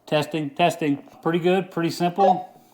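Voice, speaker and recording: Upbeat man with a higher-pitched voice. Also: steady tone, moderate pace, darkish tone. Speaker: host of an outdoor gear review channel. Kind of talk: casual product review vlog.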